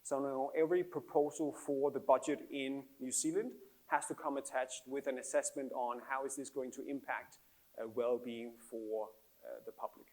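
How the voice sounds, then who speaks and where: A man speaks with a Danish accent in a mid-range voice, presenting research to a live audience. His delivery is earnest and explanatory, with touches of gentle humor. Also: mid-range voice, steady tone, normal speed, poor audio. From Denmark. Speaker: happiness researcher giving a keynote. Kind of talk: public talk to an audience.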